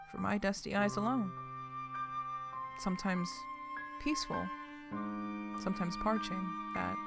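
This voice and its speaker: A woman's voice: fairly low pitch, vocal fry. An actress playing a reflective, homesick refugee woman reading her secret diary in an audio drama, confiding and wry.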